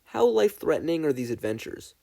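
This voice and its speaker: A man voicing an earnest, guarded teenage boy raised as a child soldier, speaking in a measured, matter-of-fact way in a story reading. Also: on the high side, sing-song, vocal fry, mellow, pitched above the performer's own voice, heavily acted. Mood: curious. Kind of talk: fan fiction audiobook character reading.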